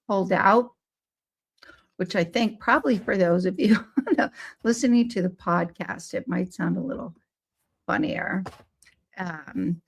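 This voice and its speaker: A woman hosting a live podcast on play therapy, hoarse from laryngitis, with a scratchy, squeaky voice and an unhurried, friendly delivery with small self-corrections. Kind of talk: live-streamed podcast talk.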